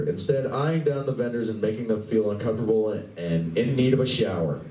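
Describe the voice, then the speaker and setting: A man's voice: fairly low pitch, mellow, a little hiss or hum, close to an everyday voice. A man reading scripted narration for a live radio play, recorded at a distance in an echoey room. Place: large indoor room at a convention.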